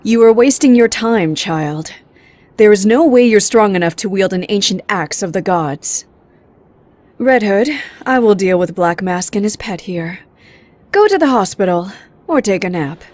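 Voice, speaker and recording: A woman voicing a proud, imperious Amazon warrior in an animated comic, speaking in a haughty, curt and blunt manner. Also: mid-range voice, a little slow, dry recording, close to an everyday voice. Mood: stern disdain.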